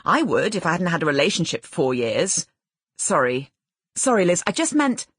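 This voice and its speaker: Chatty, insistent female friend played by a woman narrator, husky and hurried, very animated audiobook dialogue. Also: lowish voice, no echo, studio quality. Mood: breezy, persuasive. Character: breezy, chatty woman friend pleading a favour.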